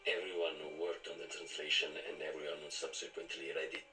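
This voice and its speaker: Echoey, solemn church envoy. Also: male voice, very deep voice, level tone, fast. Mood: solemn, urgent. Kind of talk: television drama acting. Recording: distant echoey TV playback under loud music.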